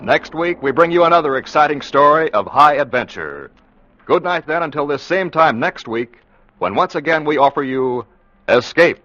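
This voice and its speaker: A man's voice: a little high, animated, moderate pace. A man working as a radio announcer, reading scripted credits and announcements in a mid-century broadcast style. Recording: dull, noisy vintage radio recording.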